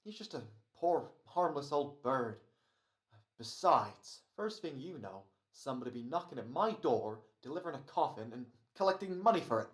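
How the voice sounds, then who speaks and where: An actor playing a tired, wisecracking but soft-hearted radio actor in an old-time American radio comedy. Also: medium pitch, sing-song, moderate pace, natural voice. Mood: protective, chiding. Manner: weary, sardonic, slangy.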